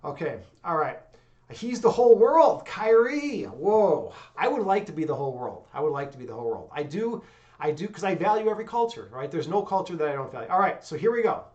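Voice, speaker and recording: A young man casually chatting with viewers on his livestream in a loose, excitable way, swearing freely and peppering his talk with "dude" and "man.". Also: fairly high pitch, fairly quick, highs cut off. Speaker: livestream host.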